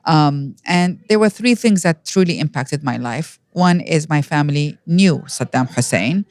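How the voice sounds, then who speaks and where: A woman in her fifties from Iraq with an Iraqi accent, telling personal stories as an interview guest in an animated way. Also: sits low, measured pace, no room sound. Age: 50s. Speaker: podcast guest.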